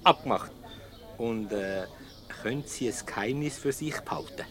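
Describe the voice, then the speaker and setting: An actor playing a flattered, gallant company director in a radio comedy, bantering with a Swiss German accent. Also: male voice, medium pitch, normal speed, lightly acted. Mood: gallant, conspiratorial.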